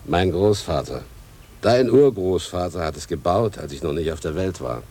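A man's voice: sits low, lively intonation, moderate pace, a little mellow, a little hiss or hum. An actor playing a wistful fifty-year-old farmer and father in a radio play, ruminative, earthy and plain-spoken. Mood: wistful, brooding. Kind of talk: German radio drama acting.

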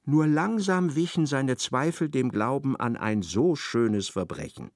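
A man narrating a literary radio play in a measured, scripted manner. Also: medium pitch, no echo, clean studio sound, natural voice. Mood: dry, measured. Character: measured male narrator of a satirical novel.

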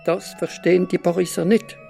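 An actor playing a proud, opinionated Alsatian writer in a radio play interview, answering in an assertive, measured way. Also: male voice, sits high, little pitch movement, vocal fry, dark tone, faint music behind.

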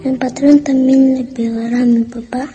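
A woman voicing a frightened little schoolboy in a radio play, thinking aloud in a high, even voice. He sounds simple and plaintive, with music clearly playing behind him. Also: normal speed, highs cut off, natural voice. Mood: anxious, sad. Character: timid, frightened little schoolboy of humble origins. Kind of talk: radio drama inner monologue.

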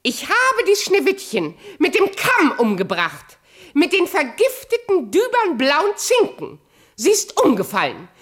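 A vain, murderous fairy-tale queen in a radio drama. Her voice is high and swings widely in pitch. She speaks slowly and theatrically, issuing commands with a volatile, imperious temper. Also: wildly swooping pitch, a bit bright, exaggerated voice. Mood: furious. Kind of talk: radio play acting.

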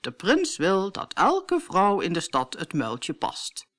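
A woman's voice: on the low side, wildly swooping pitch, a bit bright, exaggerated voice. A woman voicing a polite, dignified male servant of a royal house in a fairy tale, speaking formally. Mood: formal, solemn. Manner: measured, formal.

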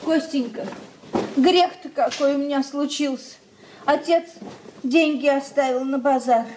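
Fretful, high-voiced older mother. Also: a little slow, noisy background. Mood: anxious, helpless. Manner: plaintive lamenting with folksy diminutives. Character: anxious, submissive older mother, fretting and pleading. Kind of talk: Russian stage-style radio drama acting.

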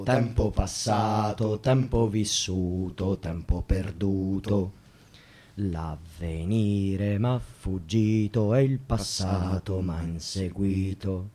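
A low-voiced man tormented by time in a radio play. He recites verse very slowly and rhythmically, almost chanting, with a mellow, shadowed tone. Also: exaggerated voice. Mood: weary, brooding. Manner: deliberate, rhythmic, chant-like delivery. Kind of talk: slow poetic radio theatre recitation.

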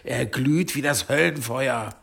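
An actor in a radio drama playing a worried, dutiful monk infirmarian, husky and deferential. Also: male voice, medium pitch, a little slow, a bit bright, full character voice. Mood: worried, apologetic. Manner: deferential, earnest.

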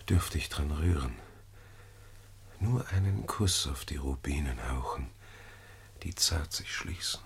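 An actor playing a scheming, flattering Roman gentleman in a classical radio play, speaking courtly, florid verse. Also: extremely low pitch, gravelly, slight background noise, heavily acted. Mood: hushed, lustful.

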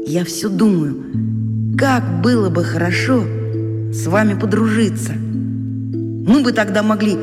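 An actor playing a shy, earnest schoolboy reading his letter in a children's radio drama, hesitant, polite and sincere. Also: high-pitched. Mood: hopeful, timid. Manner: hesitant, polite, sincere, pausing between phrases. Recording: long reverb, music underneath. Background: music.

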